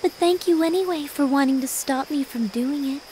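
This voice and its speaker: A woman playing a gentle, selfless, gravely ill teenage girl in a video game cutscene, soft-spoken and earnest. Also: high-pitched, normal speed, a little hiss or hum, close to an everyday voice. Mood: calm, reassuring.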